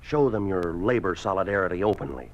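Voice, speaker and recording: Low-voiced, domineering communist official. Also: male voice, expressive, fairly quick. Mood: slick, pressing. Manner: curt, commanding, slickly persuasive. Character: smooth, domineering male communist labor official. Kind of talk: 1950s radio drama acting. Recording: muffled, noisy 1950s radio recording.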